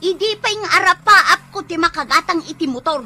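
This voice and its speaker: A woman's voice: a little fast, sharp tone, faint music behind, full character voice. A woman playing an envious, quarrelsome grown daughter in an Ilocano radio comedy drama, with a whiny, complaining manner. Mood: indignant. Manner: shrill, complaining.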